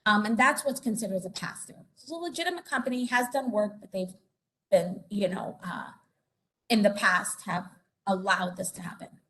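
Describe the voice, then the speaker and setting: A woman's voice: medium pitch, normal speed, a bit bright, rough recording. A woman from Puerto Rico giving a conversational informational presentation as an investigator, with many fillers and tag questions. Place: conference or meeting room.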